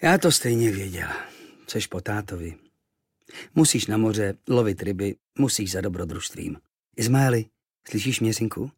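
A man voicing a worried mother speaking softly and tenderly to her son. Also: on the low side, lively intonation, breathy edge, no room sound, clean studio sound. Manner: soft, tender. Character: worried mother, gentle and resigned.

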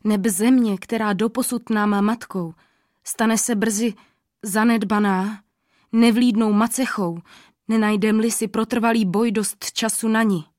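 Humble blind old man read by a woman, quiet, slow, low voice, earnest, dry. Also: fairly even intonation, no echo, natural voice. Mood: solemn. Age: 70s. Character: blind old male ferryman, humble and wise.

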